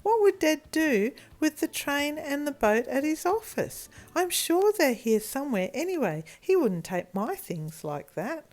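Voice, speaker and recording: A woman's voice: high-pitched, very animated, measured pace, few pauses, darkish tone, pitched above the performer's own voice. A woman voicing a spoiled, possessive little boy in a storybook, whiny and demanding. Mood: frantic. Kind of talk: children's storybook character voice.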